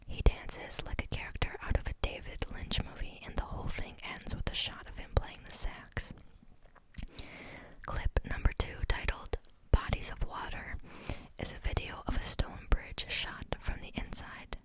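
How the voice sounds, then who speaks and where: A woman's voice: normal speed, low-quality recording. Woman reading aloud in a whisper. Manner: soft unvoiced whisper throughout; reads steadily, stumbles and restarts on words. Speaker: reader.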